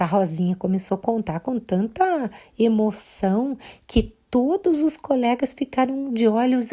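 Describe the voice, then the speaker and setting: Female children's storyteller, Brazilian accent, mellow timbre, expressive bedtime narration aimed straight at little listeners. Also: on the high side. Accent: Brazilian Portuguese.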